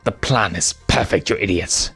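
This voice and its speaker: Guy doing a cruel lion bad guy, low voice, drags his words out menacingly, music behind. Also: vocal fry, fairly bright tone, breathy edge, exaggerated voice. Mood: enraged, contemptuous. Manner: slow, drawn-out, threatening. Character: cruel, menacing adult male lion villain.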